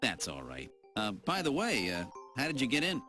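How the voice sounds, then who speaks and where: Wry, animated game detective. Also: male voice, medium pitch, moderate pace, music in the background. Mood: reassuring. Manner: wry, casual, hesitating when flustered. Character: wisecracking, flirtatious male detective hunting killer androids. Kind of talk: video game voice acting.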